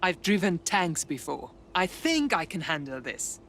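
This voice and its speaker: Assertive resistance recruit. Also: female voice, lowish voice, very animated, unhurried, a bit bright, slight background noise, exaggerated voice. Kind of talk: video game dialogue.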